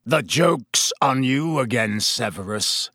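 A man voicing a desperate, bitter male fugitive who snarls and croaks in an audiobook. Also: medium pitch, animated, unhurried, pushed and bright, no room sound, studio quality, heavily acted. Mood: snarling.

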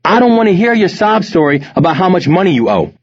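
A man's voice: sits high, sing-song, fast, voice pushed up. A man voicing a stern, unyielding mother in an audiobook, firm, cool and commanding. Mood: sternly angry. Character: stern, unyielding mother scolding her teenage son. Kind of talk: audiobook character voice. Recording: dull, band-limited audio.

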